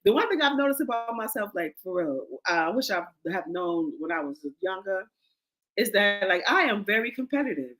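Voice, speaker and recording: A woman hosting a podcast, telling stories in a loud, profane, animated way. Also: mid-range voice, brisk, poor audio. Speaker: podcast host. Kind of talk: casual chat.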